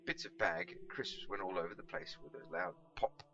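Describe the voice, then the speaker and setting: A man reading a storybook aloud as scripted narration, in an expressive, dramatic manner. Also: on the high side, normal speed, vocal fry, muffled recording, soft background music. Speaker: amateur audiobook reader.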